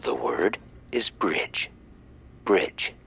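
A man playing a hushed, deliberate game-show announcer in an audio drama, with a breathy voice. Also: deep voice, big pitch swings, a little hiss or hum, full character voice. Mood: hushed, solemn.

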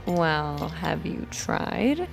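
A woman's voice: deep voice, very animated, drawn-out words, exaggerated voice. An actress playing a confident, flirtatious woman at a bar in an audio drama, playful and knowing. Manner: playful, smooth-talking, knowing. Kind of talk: naturalistic audio drama acting. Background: music.

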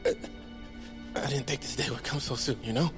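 A man's voice: mid-range voice, fairly even intonation, a little fast, music in the background, natural voice. An actor playing a melodramatic young man, a jittery buddy hero in a comedic action audio drama, full of hesitant banter. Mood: mock-tragic dying. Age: young adult.

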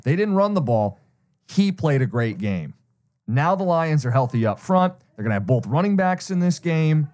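A man's voice: on the high side, a little mellow. An American man co-hosting a sports betting show, talking through his analysis in a methodical, explanatory way with plenty of statistics.

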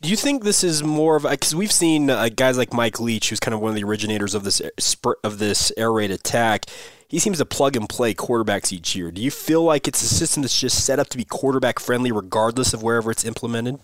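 A man's voice: mid-range voice, a little fast, no room sound. A man hosting a daily sports podcast, upbeat and conversational, his voice a little worn from being under the weather. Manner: upbeat, conversational, addresses listeners as guys. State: under the weather, voice not full. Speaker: podcast host. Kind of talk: sports podcast talk and ad read.

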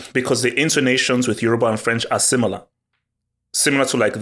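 A man co-hosting a casual football podcast, talking in an animated way and repeating words when he gets excited. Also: medium pitch. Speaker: podcast co-host.

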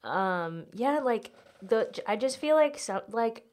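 A woman's voice: fairly high pitch, animated, unhurried, a little mellow. A young American woman talking casually and candidly as a podcast guest, in loose sentences full of "like". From United States. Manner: candid, informal, heavy use of "like". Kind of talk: casual confessional chat.

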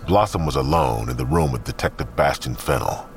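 Super deep voiced guy narrating an audio drama, expressive, music playing behind him. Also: brisk, creaky.

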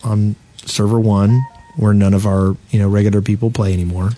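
A man's voice: fairly low pitch, little pitch movement, brisk, mellow, faint music behind. A man co-hosting a gaming podcast with casual, self-deprecating banter. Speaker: podcast co-host.

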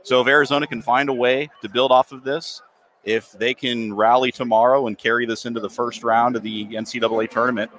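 A man co-hosting a sports talk show, explaining the game in a measured way. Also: medium pitch, level tone, a bit bright, a little hiss or hum. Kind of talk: casual sports analysis.

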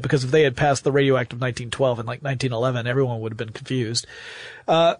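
A man's voice: fairly high pitch, dry recording. Man explaining things, close dry sound. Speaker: podcast host. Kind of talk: casual explanatory podcast talk.

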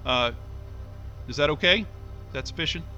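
A man's voice: on the high side, normal speed, pushed and bright, muffled recording, faint music behind. An actor playing a chatty, flippant man rambling casually through a recorded video message to himself in an audio drama. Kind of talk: naturalistic audio drama acting.

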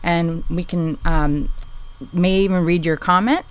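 A woman's voice: lowish voice, fairly even intonation, measured pace, clear, resonant tone. A woman who produces a podcast, speaking in a casual, eager way with many ums. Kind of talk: casual chat.

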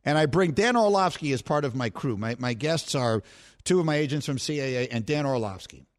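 A middle-aged man hosting a sports talk show, telling stories with animated self-mocking humor. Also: medium pitch, a little fast. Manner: animated storytelling, laughs at himself.

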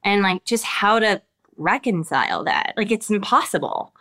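An American woman, an actress with children of her own, giving reflective interview answers with many pauses and restarts. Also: mid-range voice, expressive, sharp tone. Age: adult with children. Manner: thoughtful, halting, many restarts and fillers.

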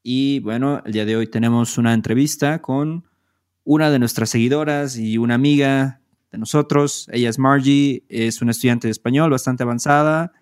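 A man hosting a podcast, relaxed and conversational, with small fillers. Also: medium pitch, moderate pace, clear, resonant tone. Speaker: podcast host. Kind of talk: casual podcast chat.

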